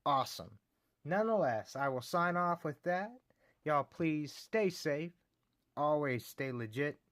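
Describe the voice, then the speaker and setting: A man's voice: on the high side, expressive, unhurried, fairly bright tone, no echo, clean studio sound. A man with a Texan accent, rambling happily as he shows off his locks and keys in a casual vlog, chuckling often. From Texas. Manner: enthusiastic, rambling, says y'all, chuckles often. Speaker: lock collecting channel host. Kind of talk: casual show-and-tell vlog.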